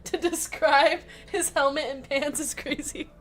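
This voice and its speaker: A woman with a fairly high voice chats as she hosts a live card break, reading out players and card numbers. She laughs often and keeps a casual tone, and music plays faintly behind her. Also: steady tone, a little slow.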